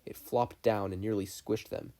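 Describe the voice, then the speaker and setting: Mellow fan fiction narrator. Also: mid-range voice, fairly even intonation, moderate pace. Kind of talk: scripted story narration.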